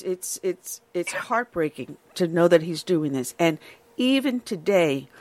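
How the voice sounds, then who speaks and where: Earnest older woman hosting talk radio. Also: low voice. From New York. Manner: earnest, conversational. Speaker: radio show host.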